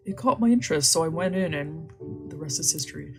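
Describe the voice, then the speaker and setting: A woman's voice: sits low, lively intonation, fast talker, faint music behind. A woman streamer reading a visual novel aloud, mixing narration with joking asides. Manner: reads narration, then drops into joking asides. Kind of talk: casual read-aloud with chatty asides.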